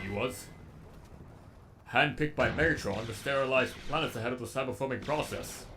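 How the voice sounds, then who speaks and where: A man's voice: medium pitch, background noise, natural voice. A man voicing a sadistic, erudite robot villain with an eloquent, menacingly polite delivery, as in a comic dub.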